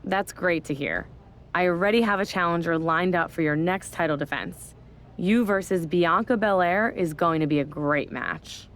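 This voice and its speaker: A woman's voice: on the low side, normal speed, fairly bright tone, slight background noise, natural voice. A woman playing a smug scheming wrestling boss. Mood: upbeat. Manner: condescending, sarcastic. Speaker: wrestling general manager. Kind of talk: video game cutscene dialogue.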